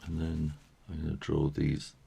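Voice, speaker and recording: A man with a tired, croaky voice, thinking aloud with soft chuckles as he teaches drawing and painting. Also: extremely low pitch, monotone, normal speed. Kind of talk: casual drawing tutorial narration.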